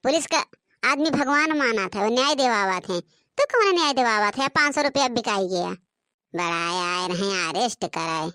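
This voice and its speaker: A woman acting out a defiant cartoon villager with an Awadhi accent. The voice is very high and closely recorded, with a dry sound, and argues in a scolding, sharp-tongued way. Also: expressive, moderate pace, no echo. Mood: scornful. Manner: scolding, argumentative. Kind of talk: comic cartoon dialogue.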